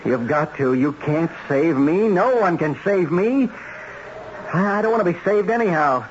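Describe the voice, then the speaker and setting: Through the dull, noisy sound of an old radio broadcast comes a man playing a haunted hitman with a higher-set voice. He stammers and repeats himself, desperate and resigned, in radio drama style. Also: lively intonation, close to an everyday voice. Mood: resigned, pleading. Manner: halting, stammering, repeating words. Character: haunted, guilt-ridden hitman who drinks, desperate and resigned.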